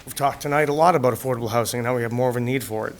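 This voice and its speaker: A man speaks as an attorney before a town planning board, his voice hoarse from a cold. He lays out figures in a measured, methodical way with frequent fillers. The public-access recording carries clear background hiss. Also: mid-range voice. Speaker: attorney presenting an application. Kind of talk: formal presentation to a town board. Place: town meeting room.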